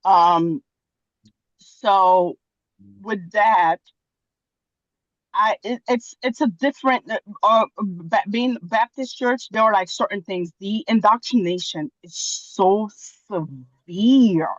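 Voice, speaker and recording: A woman from Haiti giving a long comment on a video call, pausing often with fillers and restarts. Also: medium pitch, no room sound, dull audio. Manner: hesitant, many fillers and restarts. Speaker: audience member commenting.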